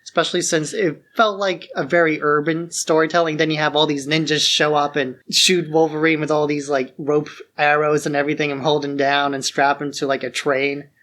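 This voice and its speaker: A man's voice: fairly high pitch, little pitch movement, fairly quick, hardly any pauses, fairly bright tone. Casual man sharing opinions on a podcast. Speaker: podcast co-host. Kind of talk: casual film discussion podcast.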